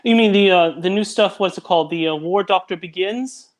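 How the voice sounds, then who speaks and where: High-voiced, hesitant young man. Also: brisk. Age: young adult. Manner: hesitant, rambling. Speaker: guest. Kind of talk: casual chat.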